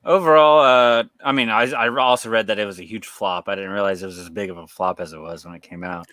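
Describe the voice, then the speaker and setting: A man's voice: fairly low pitch, fairly even intonation, fast, pushed and bright, no room sound. A man co-hosting a podcast in loose, rambling chat, laughing often and swearing freely. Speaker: podcast co-host. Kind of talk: casual chat.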